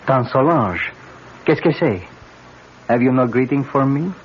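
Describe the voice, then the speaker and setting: A man playing a breezy young nephew in an old radio drama, speaking in a casual, unhurried way. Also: medium pitch, expressive, lightly acted. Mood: breezy, teasing. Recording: muffled, very noisy old radio recording.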